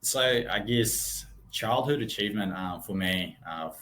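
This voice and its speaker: A man in his thirties, born in Russia with Russian as his first language, chatting casually as an interview guest on a video call, relaxed and friendly with frequent ums. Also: fairly low pitch, measured pace. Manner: relaxed, friendly, many ums, laughs easily. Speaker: real estate branch manager, guest.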